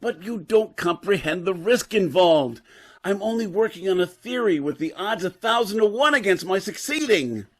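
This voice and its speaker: Man acting a nervous, high-pitched stammering professor. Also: animated, moderate pace. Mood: anxious, doubtful. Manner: stammering, hesitant, anxious. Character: nervous, guilt-ridden male professor, reluctant rogue surgeon. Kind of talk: old-time radio drama acting.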